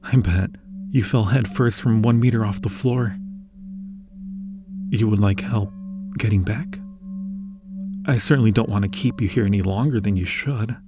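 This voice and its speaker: A man playing a friendly, curious being from another universe in an ASMR roleplay, speaking in a gentle, reassuring, musing way. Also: lowish voice, normal speed, long pauses, lightly acted. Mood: calm, reassuring. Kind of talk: ASMR roleplay addressed to the listener.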